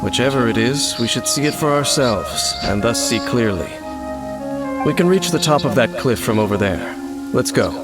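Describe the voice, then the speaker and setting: An actor playing a calm, mysterious wandering swordsman in a video game, speaking in a measured, formal, grave way. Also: mid-range voice, close to an everyday voice. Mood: solemn. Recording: game music loud behind.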